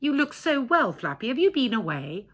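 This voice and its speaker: A woman's voice: on the high side, sing-song, moderate pace, voice pushed up. A woman voicing a beaming, effusive, confident society lady in a novel reading. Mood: gushing.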